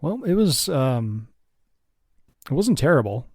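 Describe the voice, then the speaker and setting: A man co-hosting a movie podcast, speaking in a halting, candid way with many restarts. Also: mid-range voice, very animated, a little mellow, dry recording, clean studio sound. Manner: hesitant, honest, halting restarts. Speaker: podcast co-host. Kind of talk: casual chat.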